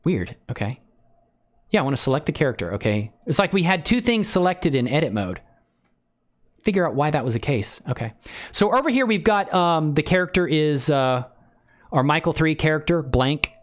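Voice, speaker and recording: A man hosting a casual live software tutorial, exclamatory and given to interjections. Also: a little high, sing-song, normal speed. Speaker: livestream tutorial host.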